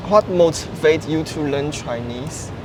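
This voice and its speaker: A man's voice: a little high, measured pace. A man from Taiwan with a Taiwanese accent, a Mandarin speaker, eagerly asking a guest questions outdoors and reacting with wows. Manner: enthusiastic, reacts with wow and mm. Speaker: host, interviewer. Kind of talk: casual street interview. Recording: traffic noise behind.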